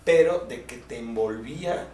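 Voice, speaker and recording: A man's voice: mid-range voice, moderate pace, darkish tone. A Mexican man co-hosting a casual panel podcast, talking in an emphatic, conversational way. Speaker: podcast co-host. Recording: distant, echoey, faintly noisy room recording.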